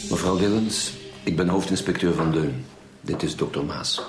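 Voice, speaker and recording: Man acting a flemish police boss, clipped n wary, deep voice, radio play. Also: moderate pace, music in the background, lightly acted. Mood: curt. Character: terse, wary male chief inspector, head of murder squad.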